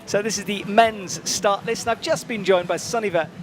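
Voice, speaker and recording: A man's voice: sits high, moderate pace. Male sports commentator over music. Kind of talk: live sports commentary and presenting. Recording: music behind the voice.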